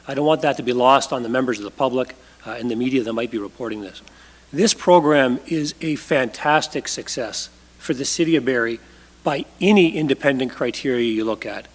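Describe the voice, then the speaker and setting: A man speaking as a city staff official at a council meeting, measured and firm, with frequent fillers, his voice going hoarse. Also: medium pitch, big-room sound. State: losing his voice. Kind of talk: formal remarks at a council meeting. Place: council chamber.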